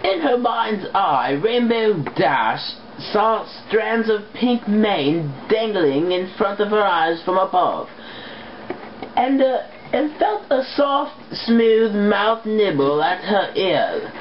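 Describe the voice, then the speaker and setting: Woman reading fanfic out loud, slow and stumbly, pitch moves around, bit muffled. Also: animated, slight background noise. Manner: halting, stumbles over harder words. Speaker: amateur fan fiction reader. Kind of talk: story read-aloud.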